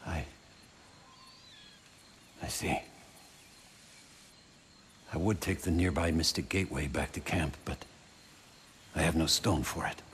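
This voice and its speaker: An actor playing a weary, humble, loyal soldier in a video game, plain-spoken and subdued. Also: male voice, very deep voice, takes it slowly, pauses often, fairly bright tone, a little hiss or hum, exaggerated voice. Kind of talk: dramatic video game acting.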